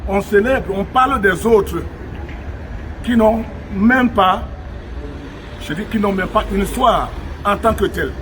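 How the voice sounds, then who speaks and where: A man's voice: high voice, a little slow, pauses often, noisy background. A man from Cameroon, speaking emphatically to viewers from an outdoor square, with many rhetorical questions. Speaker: vlogger. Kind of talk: impassioned on-location address to viewers.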